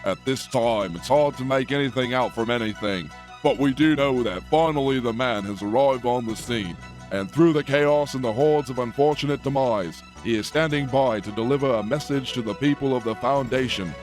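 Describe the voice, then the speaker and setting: An actor playing an earnest broadcast announcer delivering mock news and play-by-play in a satirical audio play. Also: male voice, mid-range voice, normal speed, faint music behind, natural voice. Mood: grave. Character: earnest male news anchor turned sports play-by-play announcer.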